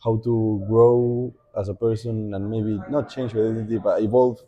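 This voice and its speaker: A young man with an Argentine Spanish accent, Spanish as his first language, talking as a podcast guest in a thoughtful way, pausing to think and often restarting his sentences. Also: lowish voice, little pitch movement, muffled recording. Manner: reflective, thinking aloud with many fillers and restarts. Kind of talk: casual podcast conversation.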